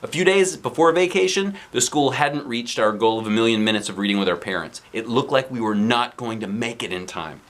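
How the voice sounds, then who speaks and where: A man reading a children's storybook aloud in an expressive, animated narrating style. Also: mid-range voice, fairly quick, few pauses. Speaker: storybook reader, dad.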